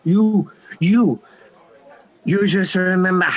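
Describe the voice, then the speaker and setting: An actor playing a fussy French nightclub manager in an amateur radio play, stammering and exasperated. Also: male voice, sits high, slow, lo-fi sound.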